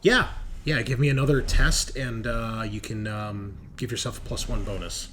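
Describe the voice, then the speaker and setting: A man's voice: mid-range voice, steady tone, moderate pace, noisy background. A man narrating a tabletop roleplaying game as game master in a conversational, casually sweary way.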